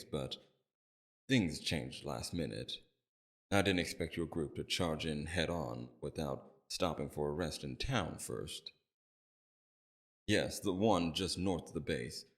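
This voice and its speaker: A man playing a courteous, calculating villain leader in an audio roleplay, speaking to a captive in a polite, measured, formal and softly condescending way. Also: extremely low pitch, full character voice. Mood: gently solicitous. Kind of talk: scripted audio roleplay, addressed to the listener.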